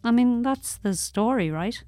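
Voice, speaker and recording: A woman playing a sardonic female prisoner in an audio drama, speaking in a deadpan, needling way. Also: a little high, a little slow, soft background music. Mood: dry mockery.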